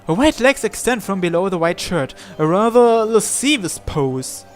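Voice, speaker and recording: A man with a fairly high voice narrates a visual novel in the first person, with music faintly behind him. His delivery is theatrical and deliberate, breaking the story into short dramatic phrases. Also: big pitch swings. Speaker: gamer reading a visual novel aloud.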